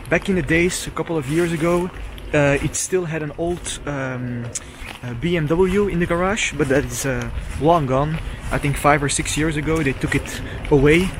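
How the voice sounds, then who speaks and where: A man's voice: on the high side, background noise. A man casually narrating his walk around an abandoned villa outdoors, enthusiastic and full of fillers, speaking into a handheld camera mic. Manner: chatty, enthusiastic, many fillers. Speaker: urban explorer vlogger. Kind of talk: casual walkthrough commentary.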